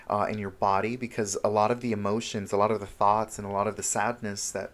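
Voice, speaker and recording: A man talking casually and openly to his viewers as a self-help vlogger, with an earnest, encouraging, informal manner. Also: fairly low pitch. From Honduras, moved to the United States at seven. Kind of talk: casual confessional vlog talk to viewers.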